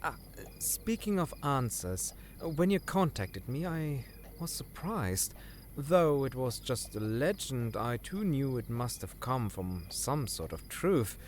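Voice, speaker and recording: A man's voice: medium pitch, big pitch swings, slow, slight background noise. A man playing an earnest, deferential scholar serving royalty in a fantasy audio drama, formal and slightly hesitant. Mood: earnest explaining.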